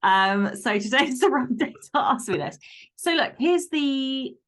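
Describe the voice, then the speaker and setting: A woman speaking as a guest author and podcaster in casual interview chat, candid, animated and emphatic. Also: sits high, measured pace.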